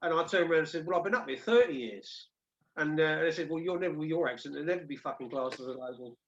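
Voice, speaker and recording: A man's voice: a little high, fast, fairly bright tone, roomy sound, highs cut off, a little hiss or hum. A man hosting a livestream, joking and swearing his way through stories on a group video call. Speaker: livestream host.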